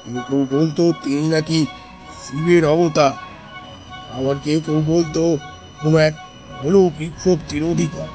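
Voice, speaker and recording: An actor playing a grave elder brother who recounts an ominous village tale with deliberate, dramatic pauses in a horror audio drama. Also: male voice, a little high, fairly even intonation, darkish tone, faint music behind, close to an everyday voice. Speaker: storyteller character.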